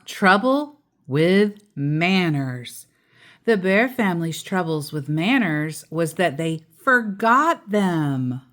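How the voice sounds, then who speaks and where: A woman storyteller reading a children's picture book aloud expressively, drawing out key words and adding playful asides. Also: lowish voice. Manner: animated, drawn-out emphasis with playful asides.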